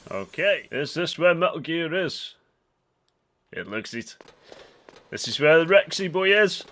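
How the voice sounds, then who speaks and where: A man's voice: fairly high pitch, sing-song, a little slow, fairly bright tone. A man hosting a gaming channel, chatting and exclaiming as he comments on his gameplay. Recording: game music behind voice.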